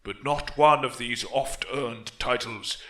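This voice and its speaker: A man's voice: mid-range voice, takes it slowly, a bit bright. A man playing a haughty, embittered elf with a disdainful, formal delivery in a fantasy monologue. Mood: bitter contempt. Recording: echoey room.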